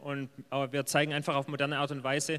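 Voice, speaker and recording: A man's voice: medium pitch, monotone, a bit bright. A man interpreting a speaker live for a church audience, often restarting mid-phrase. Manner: quick, with restarts and fillers while translating. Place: church hall. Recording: reverberant hall.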